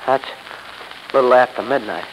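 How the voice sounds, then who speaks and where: An actor playing a skeptical, matter-of-fact stone carver in 1930s radio style. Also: male voice, medium pitch, a little slow, lightly acted. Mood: dismissive. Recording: echoey, noisy 1930s radio recording.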